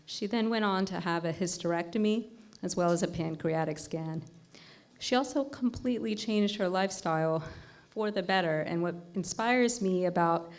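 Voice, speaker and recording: A woman's voice: fairly low pitch, darkish tone. A woman surgeon giving an upbeat, slightly scripted introduction of the next speaker at an event.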